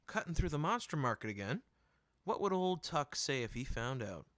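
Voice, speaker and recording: A big, easygoing merchant man, played by a male narrator, wry and teasing as he talks. The voice is bright and close, in the manner of a fantasy storybook character. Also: on the high side, sing-song, moderate pace, no room sound, pitched above the performer's own voice. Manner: jovial, joking, teasing.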